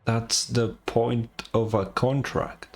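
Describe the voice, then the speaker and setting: A male gaming streamer talking casually through his choices. He speaks slowly in a medium-pitched voice, hesitant and muttering to himself, with game music in the background. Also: steady tone, vocal fry. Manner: muttering, hesitant, thinking aloud. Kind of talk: casual playthrough reaction commentary.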